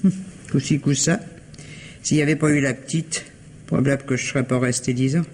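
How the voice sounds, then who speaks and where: Brusque housekeeper, curt, colloquial, grudging, radio drama, slightly noisy recording. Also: female voice, rumbling low, steady tone, a little fast, darkish tone. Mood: grudging, gossipy. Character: gruff, curt housekeeper, grudging and plain-spoken. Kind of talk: French radio mystery drama acting.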